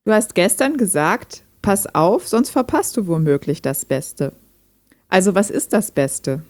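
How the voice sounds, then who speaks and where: A woman's voice: mid-range voice, big pitch swings, measured pace, a little mellow. A woman playing a lonely, reserved hobby programmer in an audio drama, speaking in a dry, direct way. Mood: teasing, earnest. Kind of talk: amateur audio drama dialogue, read chat.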